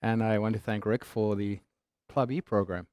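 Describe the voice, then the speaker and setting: A man's voice: on the low side, steady tone, moderate pace, a little mellow, no room sound, clean studio sound. A man from South Africa with a mixed South African accent, giving a humorous, conversational business talk. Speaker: keynote speaker.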